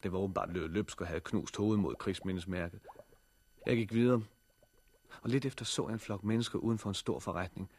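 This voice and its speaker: An actor in a 1960s radio drama playing a sober male survivor who tells his story in a measured, serious way. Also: low voice, darkish tone. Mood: sober narration. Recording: slightly noisy old radio recording.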